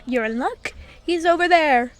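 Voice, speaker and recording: A woman's voice: high-pitched, unhurried, soft background music. A woman voicing a proud, genial male mayor in an audiobook, with a grand, self-satisfied manner. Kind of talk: audiobook character acting.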